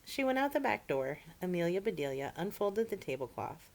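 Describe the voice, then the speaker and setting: Low-pitched woman reading children's stories. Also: big pitch swings, fairly quick. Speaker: children's story-time reader. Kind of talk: expressive picture-book reading with asides to children.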